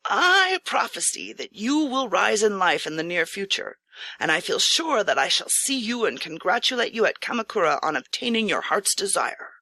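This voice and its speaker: A woman's voice: medium pitch, big pitch swings, moderate pace, sharp tone, no echo, full character voice. A woman voicing a courteous, kindly traveling priest who is a nobleman in disguise, speaking earnestly and formally for an audiobook. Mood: approving. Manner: earnest, kindly, formal old-fashioned speech. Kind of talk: audiobook character acting.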